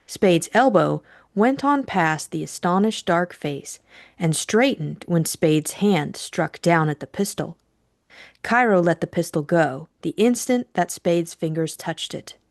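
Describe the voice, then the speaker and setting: A woman's voice: fairly low pitch, a little mellow, no echo, clean studio sound. A woman reading a scripted introduction and novel narration as co-host and narrator.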